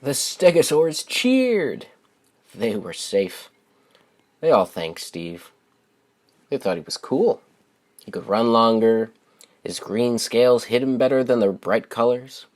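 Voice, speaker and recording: A man reading a children's story aloud as its author, with simple, deliberate phrasing and expressive stresses. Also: mid-range voice.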